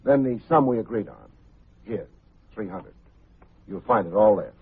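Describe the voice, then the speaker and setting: An actor playing a tough, wry but good-hearted private detective in old-time radio style, with a hardboiled, wisecracking manner. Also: male voice, on the low side, normal speed, slightly rough. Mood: brisk, wry. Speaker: lead actor and narrator. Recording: muffled old radio recording, music behind.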